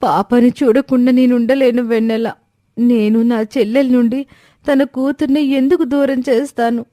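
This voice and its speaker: A woman voicing a gentle, pleading elder sister with an earnest, conciliatory manner in a family story. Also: on the high side, steady tone, moderate pace, no room sound, studio quality, natural voice.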